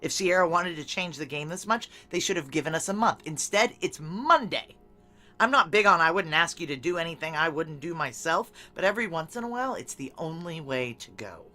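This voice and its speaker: Tough middle-aged lady boss, sharp bright voice, clipped orders, audio drama with music under it. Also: low voice, lively intonation. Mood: blunt, resolved. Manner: brisk, commanding, clipped. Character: tough, decisive female security chief, middle-aged, dryly blunt. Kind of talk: noir audio drama acting.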